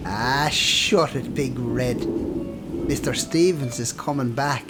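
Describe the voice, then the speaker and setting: A man's voice: medium pitch, takes it slowly, lightly acted. A man playing a wily, cheeky Irish drinker and trickster in a radio play, wheedling in folksy old-fashioned dialect. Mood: smug. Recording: loud music and rain behind.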